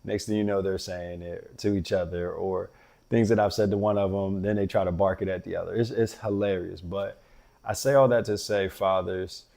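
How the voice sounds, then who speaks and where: A man with a deep voice, hosting a show and talking earnestly to fathers in a reflective, heartfelt monologue. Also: fairly even intonation, moderate pace, vocal fry, darkish tone. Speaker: podcast host.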